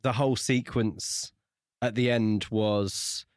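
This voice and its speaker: A man's voice: on the low side, steady tone, takes it slowly, clear, resonant tone, no room sound, clean studio sound. A man co-hosting a podcast and casually discussing a book. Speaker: podcast co-host.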